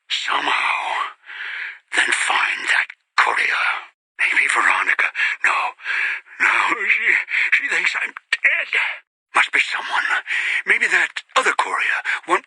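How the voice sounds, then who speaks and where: An actor playing a bitter, obsessive, paranoid old man in a video game, with a strained raspy voice and theatrical delivery. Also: mid-range voice, bright, forceful tone, no room sound, full character voice, rough recording. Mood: panicked, desperate. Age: older. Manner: theatrical, muttering to himself, swinging into desperate outbursts. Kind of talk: dramatic video game voice acting.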